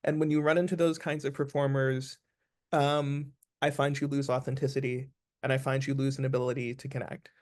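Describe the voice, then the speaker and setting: A man's voice: mid-range voice, flat delivery, a little fast. A man speaking as an interview guest, giving advice conversationally in an earnest, emphatic way, repeating phrases for stress.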